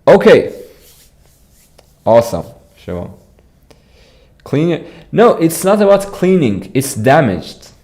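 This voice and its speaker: A man's voice: fairly high pitch, big pitch swings, takes it slowly, pauses often, darkish tone. A young man with an Iranian accent, chatting casually on a livestream, often repeating words as he answers viewers. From Iran. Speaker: streamer.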